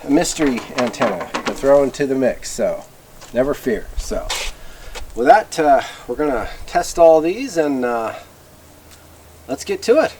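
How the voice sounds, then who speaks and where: Middle-aged man, halting talk, noisy background. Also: medium pitch, lively intonation, darkish tone. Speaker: host of a radio gear review channel. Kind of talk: casual product test vlog. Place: outdoors by a garage door, later a garage workshop. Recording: background ambient noise.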